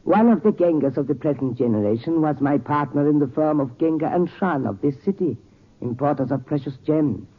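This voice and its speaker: An actor in a 1940s radio drama playing a courteous Tibetan gem importer who tells tales in formal, measured, precise speech. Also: male voice, on the high side, lively intonation, slightly rough. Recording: tinny 1940s radio recording.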